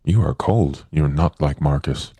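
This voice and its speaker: A player voicing an innocent, solemn winged male being in a tabletop game, speaking slowly and literally in a low husky voice. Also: warm tone, dry recording, heavily acted. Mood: solemn, naive. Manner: slow, literal, childlike phrasing. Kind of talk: tabletop roleplay.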